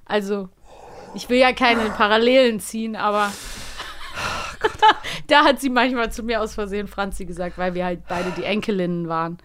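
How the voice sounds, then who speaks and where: A woman co-hosting a podcast in casual conversation, retelling stories in an animated way and acting out quotes. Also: medium pitch, normal speed, pauses often, slight background noise. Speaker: podcast co-host. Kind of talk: casual chat about children's audio plays.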